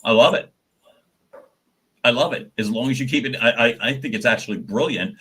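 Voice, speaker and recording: A man with a slightly husky, breathy voice gives advice in a live question and answer session. He talks with animated energy and repeats words as he gets each thought going. He has a naturally loud voice. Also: mid-range voice, brisk. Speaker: guest voice coach.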